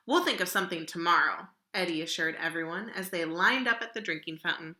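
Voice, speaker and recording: Lady doing a kids book read-aloud, super bright and animated voice, dramatic, bit echoey room. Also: lowish voice, a little fast. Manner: expressive and dramatic, drawing out key words. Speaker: storybook reader.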